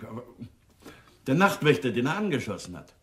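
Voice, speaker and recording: A man's voice: medium pitch, very animated, a little fast, close to an everyday voice. A man playing a fussy, indignant police superior in a German radio crime drama, formal and disapproving. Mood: incredulous. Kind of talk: German radio play acting.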